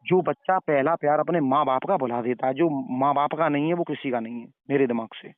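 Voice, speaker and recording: A man's voice: medium pitch, a little fast, no echo. A man preacher giving elder-brotherly, moralizing advice in a phone conversation, repeating his points for emphasis. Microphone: phone call. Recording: narrow tinny phone call recording.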